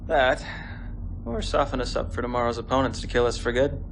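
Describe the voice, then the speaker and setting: A man's voice: mid-range voice, steady tone, brisk, close to an everyday voice. A man playing a cocky young male warrior cadet who banters wryly with his squad. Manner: wry, teasing banter. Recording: noisy background. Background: ambient noise.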